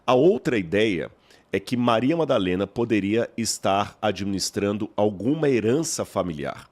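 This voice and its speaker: A man with a mineiro Brazilian accent and a fairly low voice lays out his points like a teacher. He speaks in measured, explanatory sentences, often posing a question and then answering it himself. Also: animated, darkish tone. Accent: Mineiro Brazilian Portuguese. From Minas Gerais, Brazil. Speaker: guest scholar. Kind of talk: explanatory podcast interview.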